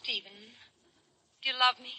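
An actress playing a pleading estranged wife over the telephone in an old radio drama, her voice thin and husky. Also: moderate pace, pushed and bright, poor audio. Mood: yearning. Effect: telephone filter.

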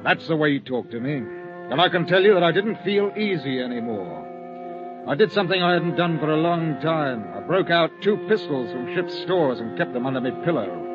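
An actor plays an upright sea captain recalling a hard voyage in a measured, grave tone. His voice sits a little high. It is a muffled old radio recording with music playing underneath. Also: expressive, close to an everyday voice. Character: weary sea captain, middle-aged man, upright. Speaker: narrator and ship's captain. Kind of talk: 1950s radio drama narration.

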